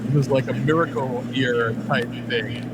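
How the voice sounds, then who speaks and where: A man's voice: mid-range voice, fairly even intonation, moderate pace, poor audio. A man co-hosting a podcast over a headset, chatting in a rambling, teasing way with many restarts. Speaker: podcast co-host. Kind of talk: casual chat.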